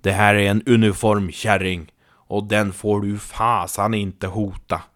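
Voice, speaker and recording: Man voicing a haughty, low-voiced Nazi general. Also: flat delivery, a bit bright, close to an everyday voice. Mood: indignant threat.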